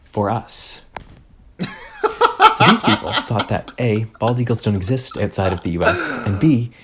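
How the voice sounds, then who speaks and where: A man's voice: on the low side, huge pitch swings, measured pace, a bit bright, a little hiss or hum. A man telling a laid-back anecdote about a past job. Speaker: former winery worker.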